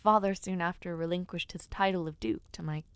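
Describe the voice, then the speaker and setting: Young highborn lady, voiced by a woman, genteel and spirited, warm toward the listener; close, dry audio-roleplay sound. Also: steady tone, fairly quick, clear, resonant tone, no echo, close to an everyday voice. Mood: fond. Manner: formal, genteel speech with soft hesitant fillers. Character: young noble lady, aspiring knight, lively and affectionate.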